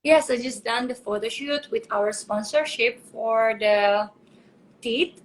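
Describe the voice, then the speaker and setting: A woman's voice: a little high, takes it slowly, slight background noise, rough recording. A woman in her twenties with an Indonesian accent, hosting a casual live video chat, chatty and giggly. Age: 20s. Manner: giggly, chatty, switches between languages. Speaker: live stream host.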